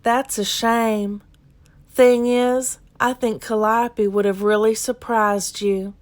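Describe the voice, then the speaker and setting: Woman voicing a high, earnest storybook cowboy. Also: little pitch movement, slow. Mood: gentle, resolute. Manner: folksy, earnest delivery. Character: kind, hopeful, earnest young cowboy, male. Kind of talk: children's storybook character reading.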